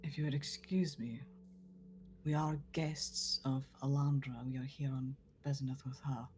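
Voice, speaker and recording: A man's voice: medium pitch, level tone, normal speed, natural voice. A man playing a formal, polite adventurer who serves a villainous lord in a tabletop game, speaking in stiff, courteous phrases.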